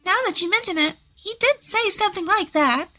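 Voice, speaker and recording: A woman's voice: extremely high pitch, brisk, sharp tone, soft background music, pitched above the performer's own voice. A woman voicing a smug, brash young daughter-in-law, greedy and scornful, in a narrated family story. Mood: defensive.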